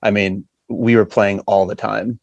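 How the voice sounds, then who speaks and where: American male musician, podcast guest, lower voice, casual, close dry recording. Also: level tone, measured pace, gravelly, no room sound, muffled recording. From western Massachusetts, USA. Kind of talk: casual chat.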